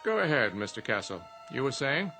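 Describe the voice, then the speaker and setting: Urbane, smug male genie, formal and theatrical, lively swooping pitch, radio drama with faint music. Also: fairly quick. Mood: smooth, businesslike.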